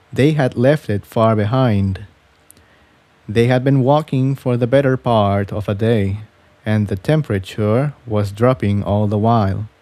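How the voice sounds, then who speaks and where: A man narrating a fan fiction story in a measured, scripted reading style. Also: mid-range voice, mellow, no room sound.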